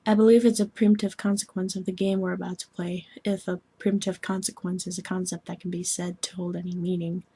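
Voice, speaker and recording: A woman voicing a formal, gently meddling young alien woman in scripted dialogue, speaking in a measured, precise, deadpan way. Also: fairly low pitch, slightly rough. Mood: measured, explanatory.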